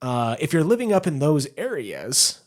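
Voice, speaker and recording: A man's voice: fairly high pitch, big pitch swings, moderate pace. A man co-hosting a podcast, explaining his points at length in a casual chat and laughing easily. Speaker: podcast co-host.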